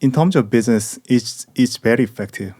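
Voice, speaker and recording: A man from South Korea hosting a podcast interview in English with a Korean accent, speaking in careful, halting phrases full of pauses. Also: medium pitch, warm tone, no room sound, clean studio sound. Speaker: podcast host. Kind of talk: casual interview chat.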